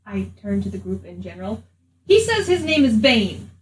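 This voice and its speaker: A woman's voice: medium pitch, big pitch swings, normal speed, recorded from a distance. A woman speaking casually in character as a player in a tabletop game. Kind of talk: casual in-character role-play. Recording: echoey room, off mic, faint noise.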